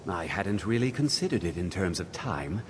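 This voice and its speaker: An actor playing a stuffy older wizard in a video game, measured, dry and mildly disdainful. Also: male voice, low voice, very animated, a little hiss or hum. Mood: evasive, thoughtful.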